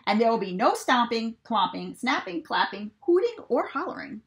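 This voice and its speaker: A woman's voice: on the high side, sing-song, moderate pace, gravelly. A woman reading the lines of a fussy male farmer who lists strict school rules in rhythmic chants. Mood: stern.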